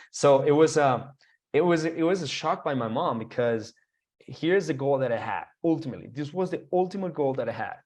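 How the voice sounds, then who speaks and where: A man's voice: fairly high pitch, expressive, normal speed, darkish tone. A young man with a Colombian Spanish accent, a guest telling his own story in a casual interview, rambling with fillers and restarts.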